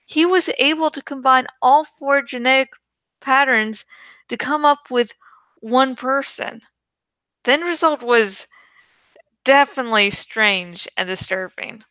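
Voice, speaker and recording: Bright-voiced woman reading a story slowly. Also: high-pitched, no echo. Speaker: audiobook reader. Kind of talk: expressive storybook narration.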